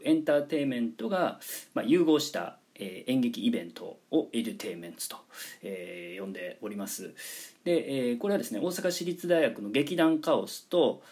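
A man's voice: fairly low pitch, darkish tone, husky. A man presenting a project announcement in a polite, explanatory manner, stressing key words. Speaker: presenter and organiser of a radio drama project.

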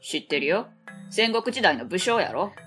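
A woman playing a blunt, sulky fourth-grade boy who speaks flatly with a Kansai accent, in an amateur web radio drama. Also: medium pitch, normal speed, faint music behind. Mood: cheeky. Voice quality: flat, sullen.

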